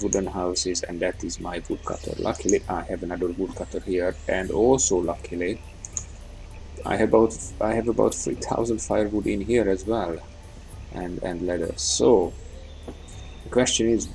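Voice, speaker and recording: A man giving unscripted let's play commentary, haltingly thinking aloud as he goes. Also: low voice, steady tone, vocal fry, a little mellow, faint music behind, poor audio. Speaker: gaming video host.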